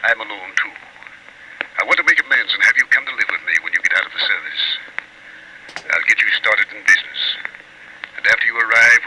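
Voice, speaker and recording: A lonely, conciliatory uncle played by a male actor speaks his letter in a low, measured, earnest voice. It is vintage 1940s radio acting with clear hiss on the recording. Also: close to an everyday voice. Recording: hissy old radio drama recording.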